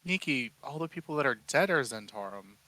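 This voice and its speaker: A man's voice: mid-range voice, expressive, brisk, bright, forceful tone, low-quality recording. A man chatting and bantering casually as a player in a tabletop game. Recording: clear background noise with clicks.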